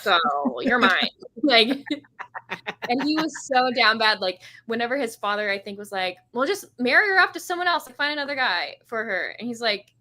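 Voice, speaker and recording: A woman's voice: a little high, expressive, moderate pace, sharp tone. A woman talking enthusiastically and informally about books in a casual chat, using slangy phrasing. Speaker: host of a book readalong.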